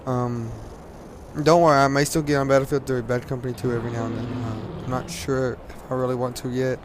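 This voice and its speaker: A hoarse teenage man from Louisiana, rambling casually with lots of fillers and laughs while commenting on a game at a desk mic. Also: medium pitch, little pitch movement, a little fast, slight background noise. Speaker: gaming commentator.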